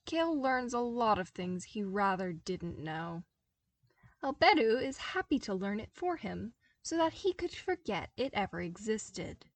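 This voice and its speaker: A woman narrates a story at a steady, measured pace, reading it aloud as a podfic. Her voice sits in a middle pitch and is recorded close and dry, with no room echo. The delivery stays even and unhurried throughout. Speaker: podfic reader. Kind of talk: scripted narration.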